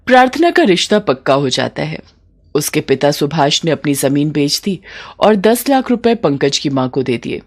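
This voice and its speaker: A woman's voice: sits low, big pitch swings, normal speed. A woman reading a scripted moral bedtime story as the narrator.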